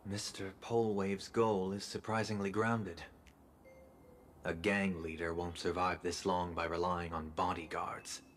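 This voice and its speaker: A man voicing a stoic, reserved warrior in a video game, with a measured, deadpan delivery. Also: deep voice, slight background noise. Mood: pensive, serious. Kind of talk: dramatic video game voice acting.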